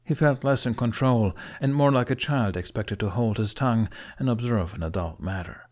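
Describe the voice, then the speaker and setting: A man's voice: medium pitch, normal speed, a little mellow. A man reading a fantasy story aloud as dramatic scripted audiobook narration.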